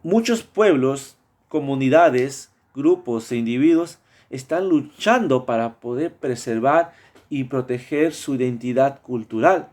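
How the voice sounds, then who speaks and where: Mexican man, mid-pitched, student presenting his project, halting with frequent fillers. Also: animated, darkish tone. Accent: Mexican Spanish. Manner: hesitant, many fillers and restarts. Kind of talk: spoken class project presentation.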